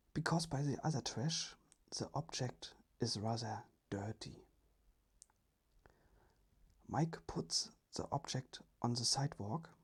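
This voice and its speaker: Whispery asmr storyteller, really slow n careful, super breathy. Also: mid-range voice. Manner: hushed, careful, deliberate reading.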